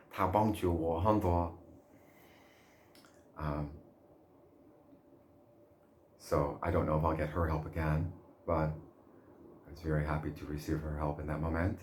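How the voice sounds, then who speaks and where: Very deep, slow-speaking man in a roomy space. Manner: slow, deliberate, with pauses and soft laughs. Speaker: language learner vlogger. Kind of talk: casual monologue. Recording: roomy with faint background hum.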